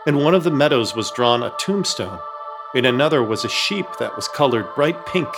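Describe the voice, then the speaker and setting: A man's voice: mid-range voice, animated, normal speed, music in the background. Man narrating a horror comedy. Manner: wry, storytelling.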